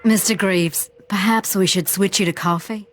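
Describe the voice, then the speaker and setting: A woman's voice: medium pitch, fairly even intonation, moderate pace, slight background noise, natural voice. An actress playing a polite, solicitous woman of a western saloon in a video game. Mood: polite concern.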